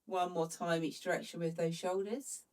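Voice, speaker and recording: A woman leads a slow Pilates class in a gentle, steady voice, her nose a little stuffed up from hay fever. Her voice is picked up from some distance from the mic. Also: lowish voice. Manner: calm, gentle cueing. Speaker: Pilates instructor. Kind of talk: guided follow-along workout instruction.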